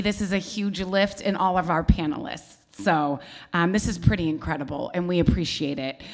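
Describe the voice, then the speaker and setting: A woman from Oregon opens a public forum in a church hall. She sits at a mid pitch and speaks loudly, thanking everyone before she hands over to the next speaker. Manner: loud, thankful. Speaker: school board member.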